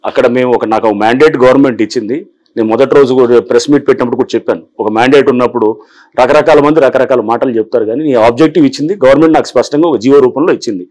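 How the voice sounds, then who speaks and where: Official, 50s, fast and explanatory, office interview, lapel mic. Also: male voice, mid-range voice, darkish tone. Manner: explanatory, mixes English phrases, frequent "see". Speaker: government official. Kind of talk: interview answers. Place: office, indoors.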